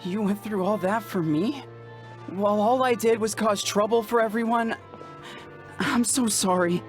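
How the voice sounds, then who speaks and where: A woman playing a weary, shaken young female warrior in a video game, speaking haltingly with sighs. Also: mid-range voice, lightly acted. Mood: guilty, grateful. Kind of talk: dramatic video game voice acting. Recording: loud music under the voice.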